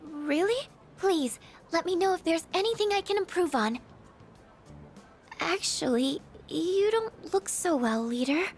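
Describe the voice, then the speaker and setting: A woman voicing a shy, gentle and earnest teenage schoolgirl in a video game, speaking softly and hesitantly. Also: extremely high pitch, expressive, unhurried, soft background music, heavily acted. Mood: shy, hopeful. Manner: soft-spoken, hesitant, apologetic. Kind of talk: English video game voice acting.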